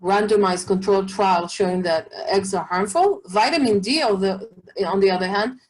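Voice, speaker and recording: A woman's voice: mid-range voice, moderate pace, rough recording. A middle-aged woman oncologist with an Israeli accent, answering questions in an online talk, hesitant with many fillers and restarts. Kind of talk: expert webinar answering questions.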